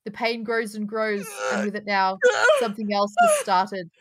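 A woman's voice: medium pitch, sing-song, normal speed. A woman narrating the stage directions in a live table read of a film script, recorded over a video call. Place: indoors at home, on a group video call.

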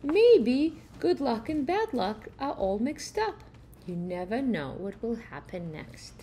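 A woman's voice: a little high, big pitch swings, slow, mellow, slight background noise. A woman voicing an earnest, curious young boy in a picture book, eager and questioning.

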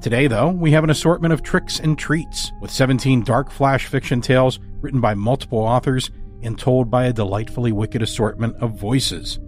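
A man hosting and narrating a scripted horror podcast in a dramatic, deliberate manner. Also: mid-range voice, fairly even intonation. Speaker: host and story narrator. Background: music score.

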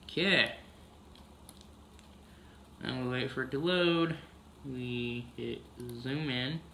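A man's voice: mid-range voice, slow pace, creaky, sharp tone, a little hiss or hum. A man casually narrating a farming video game, humming "mm-hmm" between steps, recorded on a camera across a room. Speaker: gameplay narrator.

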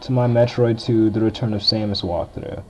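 A young man with a deep voice giving casual, rambling commentary while playing through a retro video game. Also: steady tone, moderate pace, dark tone, a little hiss or hum. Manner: chatty, rambling, filler-heavy. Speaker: game walkthrough host. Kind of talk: casual let's-play commentary. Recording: dull lo-fi game recording. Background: faint game music.

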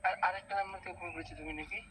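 A man's voice: high-pitched, steady tone, very fast, bright, forceful tone. A man taking a prank phone call, puzzled and asking who is calling. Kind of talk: confused phone conversation. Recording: distant phone line with background noise.

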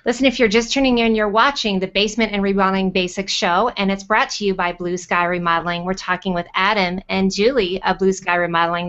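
A woman's voice: lowish voice, a bit bright. A middle-aged woman hosting an online interview show over a video call. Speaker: host. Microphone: computer mic on a video call. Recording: dull, lo-fi video call audio.

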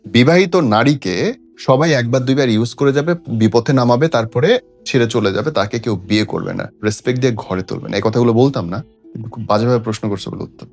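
A man's voice: mid-range voice, a little fast, a little mellow. A man with a Bangladeshi accent, a host giving opinionated relationship advice in an emphatic, preachy way with rhetorical questions. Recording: music playing behind the voice. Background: background music.

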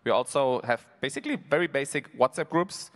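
A man's voice: medium pitch, big pitch swings, a little fast. A man on a conference panel speaking in a matter-of-fact way about his work. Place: large conference stage.

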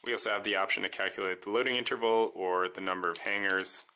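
A man calmly walking through how his homemade device works, step by step, in a voice-over demo. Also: sits low, fast talker, slight background noise. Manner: calm, methodical step-by-step explaining. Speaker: maker demonstrating his device.

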